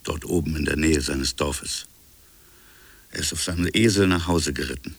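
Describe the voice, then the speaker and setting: A man playing a reflective French colonial official in a literary radio drama, recalling a buried affair in musing, fragmentary phrases with a gravelly, husky voice. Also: rumbling low, moderate pace, dry recording, heavily acted. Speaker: narrator and protagonist.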